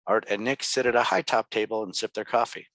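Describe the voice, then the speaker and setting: Low, dry-sounding man narrating a script. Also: fairly even intonation, brisk, a bit bright, dry recording. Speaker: stage directions narrator.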